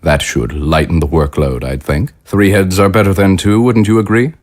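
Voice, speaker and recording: Guy playing a pompous visionary politician type, really deep voice, formal speechifying, audio drama. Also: fairly even intonation, normal speed, darkish tone, close to an everyday voice. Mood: smoothly authoritative. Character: grandiose older government official, visionary and smoothly menacing. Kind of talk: scripted audio drama acting.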